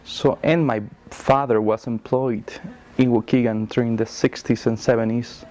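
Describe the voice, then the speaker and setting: A man from Mexico, telling his family history in a measured oral history interview. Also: medium pitch, steady tone, darkish tone. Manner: measured, with pauses and fillers.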